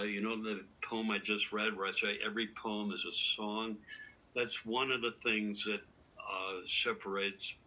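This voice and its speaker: Slow halting deep-voiced man. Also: sharp tone, lo-fi sound. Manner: halting, many uh fillers, thoughtful. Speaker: poet guest. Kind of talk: casual interview.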